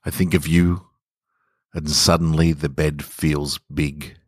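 Man reading as a deep-voiced, lonely sleepless lover. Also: level tone, husky, no room sound, clean studio sound, heavily acted. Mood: lonely, brooding. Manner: brooding, measured. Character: lonely, sleepless lover lying in a hotel bed. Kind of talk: literary first-person story reading.

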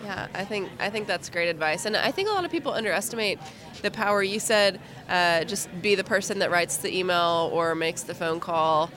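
A woman's voice: fairly low pitch, fairly quick, fairly bright tone. A woman hosting an interview, asking questions and affirming her guest in a friendly, thoughtful way.

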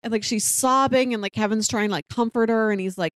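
A woman's voice: a little high, fast, no room sound. Woman chatting casually, close dry sound. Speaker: podcast co-host. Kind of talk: casual chat about a TV drama.

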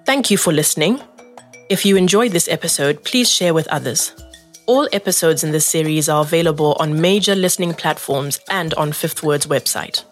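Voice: mid-range voice, moderate pace, faint music behind. An announcer giving a scripted podcast outro. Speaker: series announcer.

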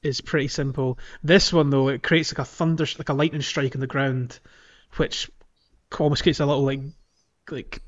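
A man's voice: mid-range voice, steady tone, moderate pace. A man hosting a casual gaming podcast, talking in a rambling, explanatory way. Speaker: podcast host. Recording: dull lo-fi sound.

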